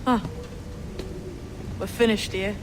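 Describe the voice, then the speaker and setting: A woman voicing a blunt, secretive young male pirate assassin in a video game, terse and dry in rough seafaring talk. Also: medium pitch, fairly even intonation, slow pace, natural voice. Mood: terse, guarded. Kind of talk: video game cutscene acting. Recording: faint ambient noise behind dialogue.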